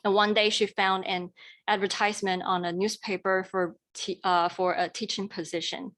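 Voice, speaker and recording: A woman's voice: mid-range voice, little pitch movement, moderate pace, a bit bright. Earnest young woman presenting. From Taiwan. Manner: earnest, careful delivery with fillers and restarts. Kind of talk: presentation talk.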